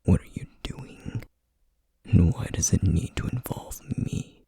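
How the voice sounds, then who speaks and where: A man playing a menacing, distrustful feral essence eater in an ASMR roleplay, speaking in a breathy, husky near-whisper with slow, threatening deliberation. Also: mellow, no echo, close to an everyday voice. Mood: accusing. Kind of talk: ASMR roleplay audio drama.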